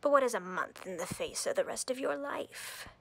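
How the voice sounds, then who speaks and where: A woman playing an imperious, coldly flirtatious drider queen in a roleplay audio, breathy and whispery, speaking haughtily and deliberately with playful menace. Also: on the high side, expressive, no echo, rough recording. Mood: imperious, reasoning.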